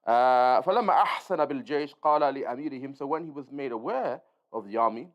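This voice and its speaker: A man's voice: mid-range voice, rapid-fire. A man teaching an informal Islamic lesson, reading aloud and explaining as he goes, checking in with his listeners. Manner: explanatory, checks in with listeners using short tags. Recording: dull, lo-fi sound.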